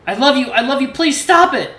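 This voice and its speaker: A man voicing a frantic young furry animal pleading through sobs, in a shrill, hoarse voice. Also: lively intonation, a little fast, sharp tone, reverberant, exaggerated voice. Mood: desperate pleading.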